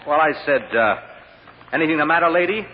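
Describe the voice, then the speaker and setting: An actor playing an earnest, chatty restaurant manager testifying in an old-time radio drama, rambling in a folksy way with hesitant asides. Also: male voice, a little high, animated, fairly quick, lightly acted. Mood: rambling, sheepish. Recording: echoing hall, muffled noisy old radio recording.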